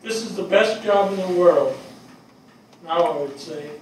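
An older man acting a grieving, earnest, plainspoken veteran fire captain in a halting stage reading. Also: high voice. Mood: earnest, eulogizing. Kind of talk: dramatic stage reading of a play. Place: small theatre stage before seated audience. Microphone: distant camera microphone. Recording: echoey hall, distant mic, clear noise.

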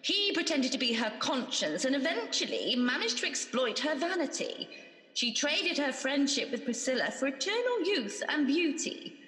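Kindly, bustling pantomime fairy played by a woman, bright and animated, echoing hall. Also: high voice, moderate pace. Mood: earnest. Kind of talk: radio pantomime acting. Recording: echoey reverberant hall.

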